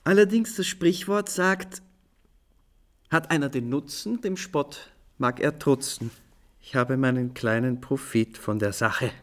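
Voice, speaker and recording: A man's voice: mid-range voice, unhurried, lightly acted. Radio play lead, male actor: cheerful composer raconteur, middle register, florid, self-mocking, courteous. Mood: playful, confessing. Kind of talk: German radio play acting.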